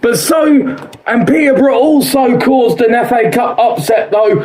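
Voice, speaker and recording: A man with a hoarse, fading voice hosts his own show, predicting football scores in a chatty, repetitive way and filling pauses with "uh". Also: very high voice, a little mellow. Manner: chatty, quotes odds, repeats himself, frequent "uh".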